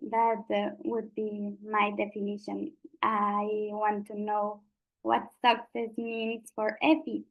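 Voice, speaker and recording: A woman from Mexico, a marketing founder speaking slowly on an online panel, pausing often with fillers. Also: mid-range voice, completely flat delivery, gravelly, phone-quality sound. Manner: slow, hesitant, many filler pauses. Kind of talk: panel introduction and discussion.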